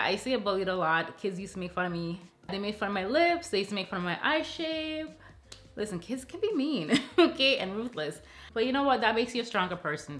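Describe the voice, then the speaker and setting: A woman's voice: medium pitch, a bit bright. A woman in her thirties with a Jamaican accent, talking casually to camera in a playful, chatty way and laughing often. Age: 30s. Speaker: YouTuber. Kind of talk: casual Q&A vlog. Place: sitting alone in a room at home. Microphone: camera mic, talking straight to camera.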